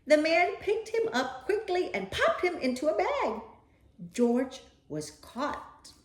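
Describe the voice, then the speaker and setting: Female storyteller for children's read-aloud: very lively intonation, unhurried, playful and dramatic, recorded in an echoey room. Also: high voice. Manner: animated, dramatic, with playful asides to a young listener. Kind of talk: expressive children's picture book read-aloud.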